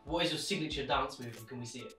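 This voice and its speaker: A man's voice: medium pitch, quick pace, bright, forceful tone, soft background music. A man asking short questions as an interviewer, recorded with a roomy, off-mic sound. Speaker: off-camera interviewer.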